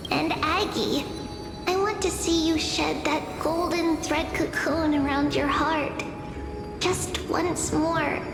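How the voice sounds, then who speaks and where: A woman voicing a gentle, wistful, childlike girl oracle with soft, tender, drawn-out delivery in a dubbed fantasy game. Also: very high voice, heavily acted. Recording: long reverb with loud music.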